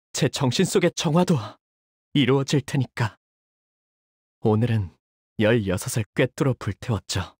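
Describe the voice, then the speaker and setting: An actor voicing a young male fighter in a video game, polite and soft-spoken with dramatic delivery. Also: mid-range voice, no echo, clean studio sound. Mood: bitter, fanatical. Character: earnest, anxious young man, a devoted shielding fighter; tormented young male zealot who purifies with fire. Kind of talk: video game character voice lines.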